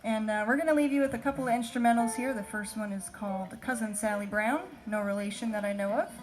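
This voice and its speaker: A woman's voice: a little high, fairly even intonation, fast, poor audio. A woman bandleader chatting casually to her audience between tunes at a live concert. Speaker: bandleader, singer and fiddler. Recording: live stage PA, music and crowd noise. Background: music and audience.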